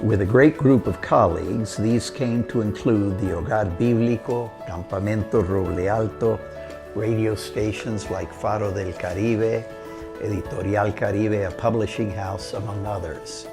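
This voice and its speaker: An older man from Costa Rica, telling his life story in a measured, steady delivery. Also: fairly low pitch, over music. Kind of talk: prepared autobiographical narration.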